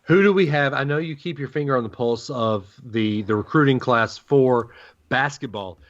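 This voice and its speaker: A man's voice: mid-range voice, normal speed, dull audio. A man co-hosting a sports talk show, giving his opinions and putting questions in a conversational way.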